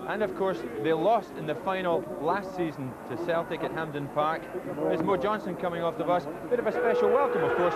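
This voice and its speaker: A man presenting a live football broadcast at a stadium, linking segments and naming arriving players in a brisk, informative way. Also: on the high side. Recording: noisy broadcast with crowd behind.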